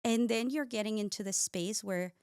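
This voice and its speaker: A woman's voice: mid-range voice, normal speed, smooth, no echo, clean studio sound. Ecuador-raised woman telling her story. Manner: warm storytelling with frequent "uh" and small grammar slips. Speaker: guest, cosmetic scientist. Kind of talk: casual interview talk.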